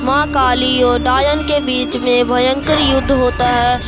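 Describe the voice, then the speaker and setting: A woman's voice: sits high, level tone, a little slow. A woman narrator reading a scripted horror story. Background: music.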